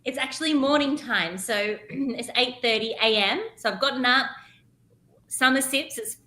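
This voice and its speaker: A woman's voice: sits high, moderate pace, sharp tone, roomy sound. A woman with an Australian accent, a guest chatting casually and laughing easily. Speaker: guest, teacher resource creator. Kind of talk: casual chat.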